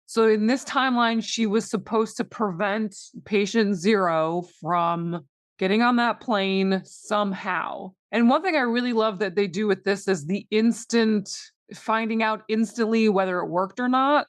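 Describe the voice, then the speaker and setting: Female podcast co-host, medium pitch, conversational talk about fiction, very little room sound. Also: measured pace, dry recording, clean studio sound. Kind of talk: casual discussion of a fiction series.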